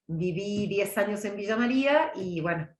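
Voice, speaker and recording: A woman's voice: mid-range voice, lively intonation, moderate pace, roomy sound. A middle-aged woman from Córdoba with a mild Argentine accent, teaching a public speaking class over a video call in a chatty, conversational way. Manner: chatty, warm, answers chat questions by name. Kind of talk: online class, casual lecture.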